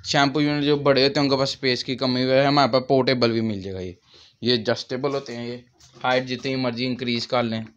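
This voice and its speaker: Man, thirties, Pakistani accent, smooth clear voice, mid pitch, sales interview. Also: steady tone, fast talker, fairly bright tone. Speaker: furniture shop owner. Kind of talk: interview, sales explanation of products and prices. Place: small crowded furniture shop, indoors. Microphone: handheld microphone held by interviewer.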